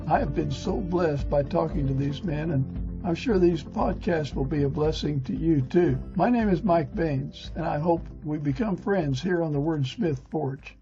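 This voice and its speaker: An older man hosting a podcast, reading scripted narration from a teleprompter in a stiff, halting way. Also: a little high, music in the background. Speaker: podcast host. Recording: dull, lo-fi sound.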